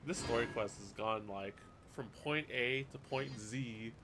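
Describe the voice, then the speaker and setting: A man's voice: mid-range voice, a little slow, noisy background. A man live-streaming a game, reacting and chatting casually in slangy, sweary, exasperated gripes into a close mic.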